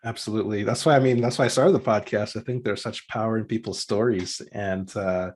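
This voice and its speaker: A man hosting his podcast who asks questions in a friendly, casual way and gives quick affirmations. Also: lowish voice, darkish tone. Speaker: podcast host. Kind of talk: interviewer's casual chat.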